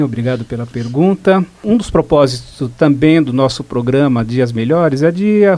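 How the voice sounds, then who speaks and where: A man hosting an educational program, interviewing a guest in a measured, didactic way. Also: a little high, a little mellow. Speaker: program host.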